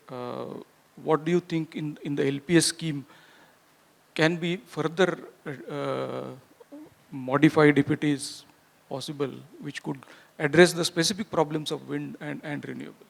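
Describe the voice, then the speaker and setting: A man moderating a conference panel, polite and halting with many repeats. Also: on the high side, vocal fry. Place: conference hall.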